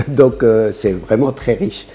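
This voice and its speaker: A man's voice: medium pitch, slow, dark tone. A man talking casually in an interview, hesitant and full of fillers, chuckling between his points.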